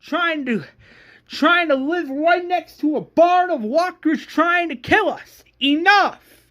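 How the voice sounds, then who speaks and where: A performer voicing an enraged, desperate man who rants at his fellow survivors in strained, emphatic, repetitive shouts. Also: medium pitch, big pitch swings, normal speed, slightly rough, voice pushed up, full character voice.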